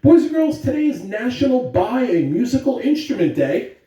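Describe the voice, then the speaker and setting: An American man with an upbeat, deliberate, emphatic delivery, giving school announcements and reading aloud to children as a principal. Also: very high voice, big pitch swings, mellow, highs cut off. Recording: roomy, distant mic with background noise.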